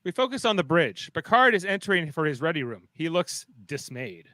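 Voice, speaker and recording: Fairly high-voiced man narrating radio play. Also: very animated, brisk, very noisy. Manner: informal, playful asides. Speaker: host and narrator. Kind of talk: casual narration of live radio-play script.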